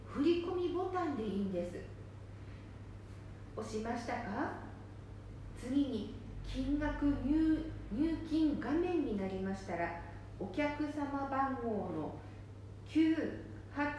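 A woman's voice: high voice, warm tone. A woman playing a polite scam caller who gives procedural instructions like an official clerk, in an amateur radio drama. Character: smooth-talking female scam caller posing as official. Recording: echoey room with faint background noise.

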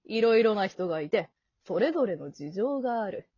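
A woman playing a cocky grown-up male monkey who talks in rough, flippant slang in an amateur comedy radio drama. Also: mid-range voice, animated, normal speed, dry recording. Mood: smug, lecturing. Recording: dull lo-fi audio.

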